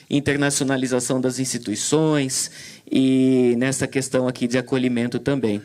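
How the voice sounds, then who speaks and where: A man's voice: medium pitch, a little mellow. A man moderating a conference session, talking hesitantly with many fillers and restarts. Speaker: event moderator. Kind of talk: commentary on a talk.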